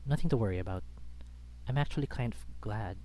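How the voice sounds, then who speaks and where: A man's voice: fairly low pitch, a little fast, mellow. A man reading a first-person story aloud as a dramatic narrator. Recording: background music under the voice. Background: music.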